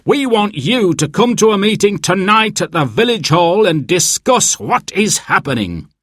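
An angry factory manager from a children's storybook, played by a man reading aloud. He is indignant and emphatic, his pitch pushed well up and swinging widely as he states his grievance. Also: big pitch swings, unhurried, a bit bright, dry recording, clean studio sound, heavily acted. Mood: angry, upset.

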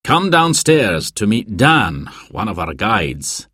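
A man's voice: on the low side, sing-song, takes it slowly, gravelly, a bit bright, slightly rough, no echo, studio quality, heavily acted. A man voicing a kindly older male hostel keeper who explains things patiently in a storybook.

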